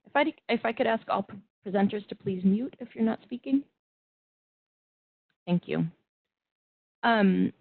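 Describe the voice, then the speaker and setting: Measured woman presenting over a tinny call. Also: mid-range voice, dry recording. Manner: measured, explanatory, occasional restarts. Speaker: webinar presenter and moderator. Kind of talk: webinar presentation. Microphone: video call. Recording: tinny phone-like web call audio.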